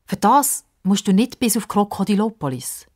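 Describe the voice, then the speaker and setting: A woman's voice: mid-range voice, very animated, normal speed, darkish tone, voice pushed up. A woman voicing a kindly, cheeky old man in a children's story, reassuring and folksy in manner. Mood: chipper.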